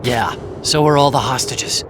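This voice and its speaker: An actor playing a brainy, earnest tech expert in a video game, explaining things in a careful way. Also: male voice, medium pitch, moderate pace, background noise, lightly acted. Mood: concerned. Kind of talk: dramatic video game voice acting. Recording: music behind the voice.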